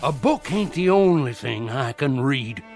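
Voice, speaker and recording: A man's voice: medium pitch, expressive, unhurried, darkish tone, soft background music. An actor playing a fervent old Southern conjure man in a radio play, with a folksy, theatrical rustic way of talking. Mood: grave, foreboding. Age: older. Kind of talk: dramatic radio play acting.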